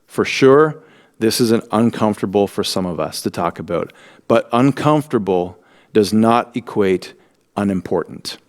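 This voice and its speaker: A man hosting a church talk program, giving a measured, earnest scripted introduction. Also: on the low side, expressive. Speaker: host.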